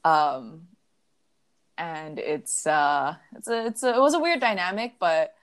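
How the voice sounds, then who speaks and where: A woman's voice: low voice, takes it slowly. A young adult woman in casual conversation, telling stories reflectively with many fillers. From United States.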